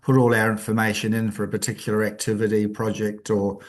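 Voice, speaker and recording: Man, meeting participant; low, even, conversational. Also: fast talker. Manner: helpful, conversational, many ums. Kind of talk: video call comment.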